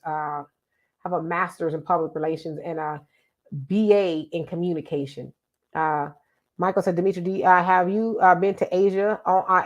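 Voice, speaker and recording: A woman's voice: lowish voice, a bit bright. A middle-aged woman, sounding congested, hosting a casual live show and reading out viewer comments in an emphatic, chatty way that repeats itself. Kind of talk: casual live-stream talk.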